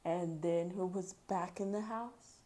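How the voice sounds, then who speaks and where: A woman's voice: on the low side, slow, warm tone, a little hiss or hum. Woman reading a children's storybook aloud. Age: adult mother. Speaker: children's book read-aloud host. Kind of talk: animated storybook reading with casual commentary.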